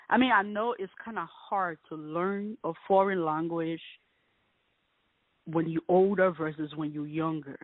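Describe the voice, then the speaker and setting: Host: woman from Liberia, lowish voice, chatty and opinionated, heard over a dry, phone-like call line. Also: dry recording. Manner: chatty, opinionated, lively emphasis. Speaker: interview host. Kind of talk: casual interview.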